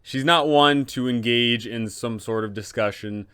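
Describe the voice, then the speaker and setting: A man hosting a scripted explainer for game masters, speaking emphatically in deliberate phrases with rhetorical questions. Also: medium pitch, bright, forceful tone, smooth. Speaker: host, game master advisor. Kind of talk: scripted explainer on running a tabletop campaign.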